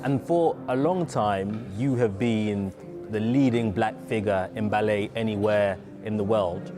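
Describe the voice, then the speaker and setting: A man's voice: on the low side, unhurried, over music. A male TV news reporter asking interview questions in a chatty, teasing way.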